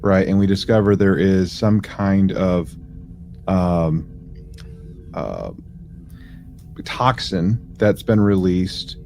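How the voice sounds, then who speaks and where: A man co-hosting a podcast, giving a casual recap in a halting way, piecing his phrases together. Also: low-pitched, animated, a little mellow, soft background music. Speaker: podcast co-host.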